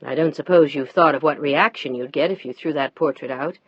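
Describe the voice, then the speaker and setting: A woman voicing a husband, dropping lower and speaking curtly in a marital quarrel. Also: brisk, breathy edge, dry recording, lo-fi sound. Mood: annoyed. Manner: low, curt, annoyed. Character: man, a husband, tired then tender.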